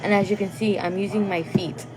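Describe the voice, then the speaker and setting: A woman's voice: mid-range voice, steady tone, a little fast, over music, poor audio. A young woman chatting casually on a phone livestream; English is not her first language, and she laughs often and sometimes stumbles over words. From Guatemalan family.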